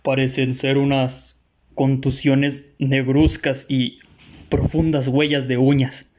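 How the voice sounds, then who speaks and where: Man playing an evenly pitched, earnest detective's companion. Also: little pitch movement, slow, a little mellow, a little hiss or hum, lightly acted. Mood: earnest, puzzled. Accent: Mexican. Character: earnest male companion of a detective, puzzled and attentive. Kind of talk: radio play acting.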